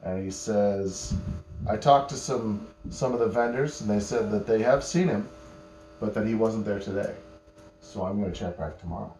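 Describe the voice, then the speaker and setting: A man telling an improvised bedtime story to children, patiently explaining words and pausing mid-phrase as he goes. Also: on the low side, dark tone. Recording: roomy echo, faint electrical hum.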